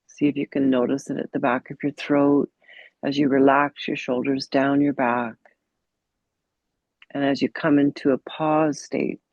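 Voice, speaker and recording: A woman guides a mindfulness meditation gently, leaving pauses between short phrases. Her delivery is slow, with a lot of creaky fry in the voice. The recording is dull and muffled. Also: extremely low pitch, no echo. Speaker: meditation teacher.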